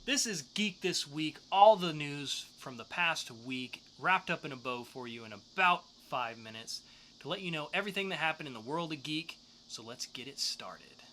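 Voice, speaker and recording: A man's voice: fairly high pitch, animated, moderate pace, sharp tone, slight background noise. A man co-hosting a podcast, talking through news and reviews in a casual, joking way. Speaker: podcast co-host. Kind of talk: news segment and comics review.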